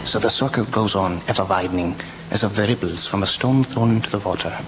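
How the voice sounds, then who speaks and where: A man's voice: fairly low pitch, brisk, close to an everyday voice. A man playing a grave, commanding professor who hunts vampires in a radio drama, speaking in solemn oratory with stilted foreign phrasing. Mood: solemn, explanatory. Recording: noisy amateur local radio recording.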